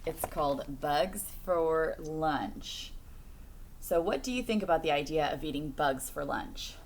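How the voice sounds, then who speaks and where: Lively lower-voiced woman reading to children. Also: measured pace, a little hiss or hum. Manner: instructive, addressing children with questions and expressive phrasing. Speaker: teacher reading a picture book aloud to children. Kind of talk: children's read-aloud with questions to young listeners.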